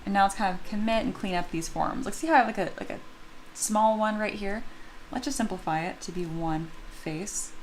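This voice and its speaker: A woman's voice: medium pitch, a little fast. A woman with a slightly scratchy, sleepy voice, chatting informally and thinking aloud as she teaches a livestream tutorial. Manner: informal, chatty, talks to herself and viewers. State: sleepy, voice not yet warmed up. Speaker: 3D sculpting teacher and livestream host.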